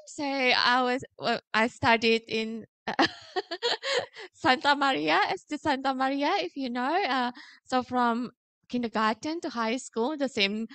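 A woman's voice: high-pitched, fairly bright tone. A middle-aged woman with an Indonesian accent gives a public lecture in a chatty, self-deprecating way, with many fillers, on a handheld mic in a lecture hall. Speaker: guest lecturer.

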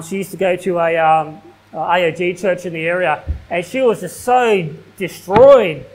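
An Australian man in his thirties delivers a sermon from a pulpit in a small church hall. His voice sits high for a man, and his talk mixes plain everyday phrasing with formal scripture reading. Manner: preaching at a pulpit, reading King James verses aloud, casual fillers like "like". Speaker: pastor.

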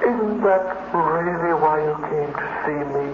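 An actor playing an eager, knowing telepathic robot in a theatrical 1950s radio drama style. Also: male voice, fairly high pitch, unhurried, natural voice. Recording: noisy, tinny 1950s radio recording, echoey.

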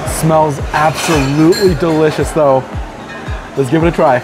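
A man's voice: fairly high pitch, fairly even intonation, normal speed. A man in his thirties, a food vlogger, talking to the camera with eager, savoring praise while eating in a busy restaurant. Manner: enthusiastic, savoring each bite with upbeat praise. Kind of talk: casual food review to camera. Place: busy restaurant dining room, indoors. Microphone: phone or camera held at arm's length. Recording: background music playing in restaurant.

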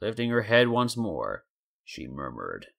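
A man's voice: lowish voice, huge pitch swings, measured pace, creaky, fairly bright tone. A man in his twenties reading a scripted story as a dramatic narrator. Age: 20s. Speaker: story narrator and channel host.

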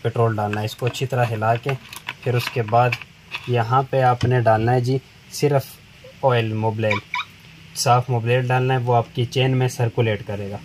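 Man, flat voice. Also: mid-range voice. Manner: plain, unhurried explaining while working with his hands. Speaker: tool shop seller demonstrating a chainsaw. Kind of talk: step-by-step product demonstration. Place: indoor tool shop counter. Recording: noisy shop recording.

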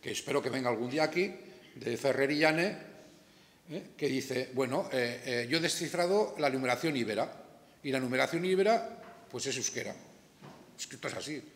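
An older man from Spain, speaking with a Spanish accent, lectures in a reverberant room. His pitch sits in the middle of the male range. He is emphatic and informal, wandering into asides and posing questions that he then answers himself. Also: moderate pace. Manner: emphatic, digressive, with rhetorical questions and frequent tag questions. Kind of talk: informal academic lecture to an audience. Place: indoor lecture room, seated at a table. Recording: echoey lecture room recording.

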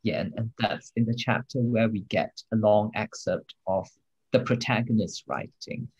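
A man, the book's translator, speaking in a measured, analytical way about the novel. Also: lowish voice, darkish tone, husky, highs cut off. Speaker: translator guest. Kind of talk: thoughtful discussion.

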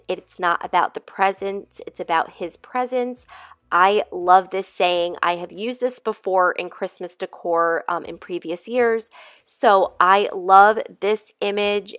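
Woman, nasal, tail end of a cold; chatty rambling tutorial host, medium pitch, dry voice-over. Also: dry recording. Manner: chatty, rambling, friendly asides. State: recovering from a cold. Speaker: DIY craft channel host. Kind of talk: casual crafting tutorial voice-over.

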